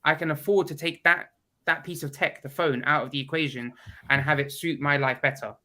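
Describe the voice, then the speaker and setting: A man guesting on a video call chat, his voice bright and forward and sitting a bit high, though a head cold leaves it stuffy. He talks bluntly and with plenty of opinion. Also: steady tone, brisk. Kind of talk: casual chat.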